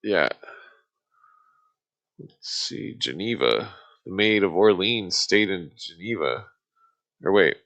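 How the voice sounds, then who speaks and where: A man reading aloud casually on a live stream, halting and stumbling over formal text, with muttered asides. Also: sits low, expressive, fairly bright tone.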